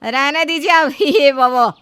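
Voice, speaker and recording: Feisty, high-voiced older mother-in-law played by a woman. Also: measured pace, fairly bright tone, no echo. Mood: indignant. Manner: indignant, emphatic.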